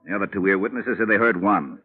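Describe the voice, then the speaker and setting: Gruff police sergeant, as an actor plays him. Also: male voice, on the low side, close to an everyday voice. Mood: businesslike. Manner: brisk, businesslike, plain-spoken. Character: gruff matter-of-fact male police sergeant. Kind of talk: 1950s radio drama acting. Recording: tinny old radio broadcast.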